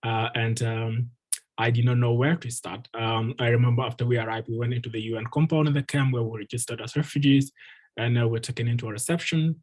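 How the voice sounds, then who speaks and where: A young man in his twenties, from Ethiopia, telling his own story to an online meeting. His voice is a little husky and stays within a narrow pitch range, earnest and warm, full of ums and uhs. It sounds like a video call. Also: steady tone, quick pace. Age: 20s. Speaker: guest speaker, refugee advocate.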